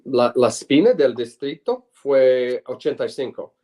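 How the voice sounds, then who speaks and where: A middle-aged man, a lawyer and former legislator, answers an interviewer's questions at an ordinary pitch. He is a native English speaker working in a second language, so he restarts and hunts for words as he goes. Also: lively intonation, a little mellow. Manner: halting, searching for words in Spanish. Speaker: lawyer and former state senator, guest.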